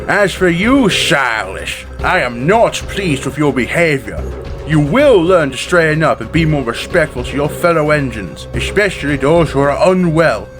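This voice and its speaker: A man playing a stern, proper railway director in an audio story, speaking in formal, measured reprimands. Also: fairly high pitch. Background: music.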